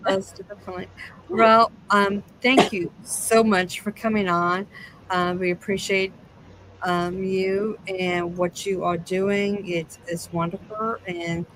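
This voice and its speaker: Woman, unhurried pace, halting casual delivery, podcast host, faint background noise. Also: medium pitch, flat delivery, fairly bright tone, rough recording. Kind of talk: casual chat, show sign-off.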